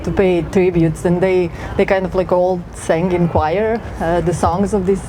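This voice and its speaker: A woman's voice: on the low side, steady tone, measured pace, warm tone, rough recording. A woman from northern Serbia, a research fellow speaking on an outdoor city tour in a hesitant, friendly way with many fillers. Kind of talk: talk on a guided city tour. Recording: outdoor street noise. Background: street traffic.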